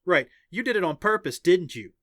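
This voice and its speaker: A man's voice: very high-pitched, sing-song, a little fast, bright, forceful tone, no room sound, clean studio sound, pitched above the performer's own voice, heavily acted. A man voicing a playful, indignant female arena fighter in an audiobook, complaining and teasing. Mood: playfully accusing. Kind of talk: audiobook character dialogue.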